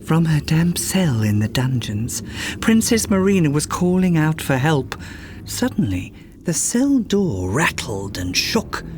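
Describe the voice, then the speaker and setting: A storyteller giving an expressive scripted reading of a children's fairytale, with music underneath. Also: mid-range voice, a little slow.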